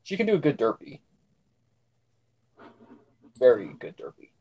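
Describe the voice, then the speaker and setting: A man's voice: medium pitch, sing-song, a little slow, a little mellow, breathy edge, lo-fi sound. A man talking casually as a guest on a livestream, rambling and emphatic, often repeating his points. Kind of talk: casual chat.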